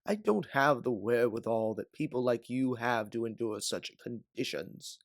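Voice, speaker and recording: Pompous magistrate, voiced by a man, haughty, blustering, soft-living, dry recording. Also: medium pitch, normal speed, dry recording, close to an everyday voice.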